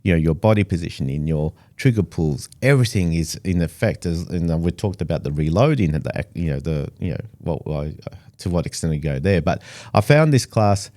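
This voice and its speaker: A man's voice: sits low, lively intonation, a little fast, dark tone. A middle-aged Australian man, first language Italian, talking casually as an interview guest with many fillers and restarts. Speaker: engineer guest.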